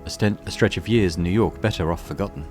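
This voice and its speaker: A man's voice: sits low, fast talker, a little mellow, faint music behind, voice pushed down, lightly acted. A man voicing a reserved, wry young woman who speaks in formal, clipped Victorian phrasing.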